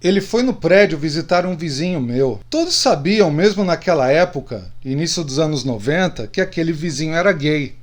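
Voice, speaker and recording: A man's voice: fairly high pitch, animated, normal speed, hardly any pauses, lightly acted. A man with a Brazilian accent playing a slightly drunk middle-aged friend who confides plainly in a radio drama. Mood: regretful.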